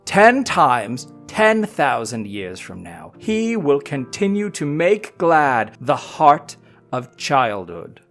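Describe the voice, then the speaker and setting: A man giving a theatrical, emphatic dramatic reading of a classic letter, with drawn-out pauses. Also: mid-range voice. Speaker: reader in a theatre company's audio series.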